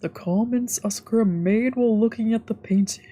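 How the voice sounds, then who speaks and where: A woman's voice: fairly high pitch, fairly quick, mellow. A woman streamer reading visual novel narration aloud, with casual asides. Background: faint music.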